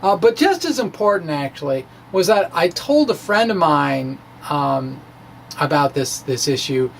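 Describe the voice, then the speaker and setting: Higher-voiced man explaining physics, halting and wry. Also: sing-song, gravelly, a little hiss or hum. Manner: halting, frequent hesitations mid-phrase; wry, playful, chuckles at own asides. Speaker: amateur physicist presenting own science blog. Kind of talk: dramatic reading of a physics blog, informal explaining.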